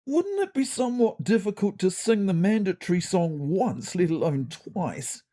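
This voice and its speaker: A woman's voice: fairly low pitch, big pitch swings, moderate pace, warm tone. A woman voicing a skeptical male cat who raises politely doubtful, reasonable questions in a comedy story.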